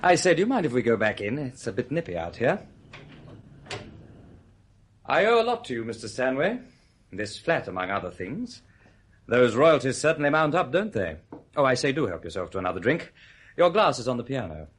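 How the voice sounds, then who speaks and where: Husky, suave, quietly menacing man. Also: medium pitch, big pitch swings, moderate pace, long pauses. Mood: suave, teasing. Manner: urbane, coy, over-polite. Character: suave, teasing, quietly menacing male host who knows too much. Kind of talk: radio play acting.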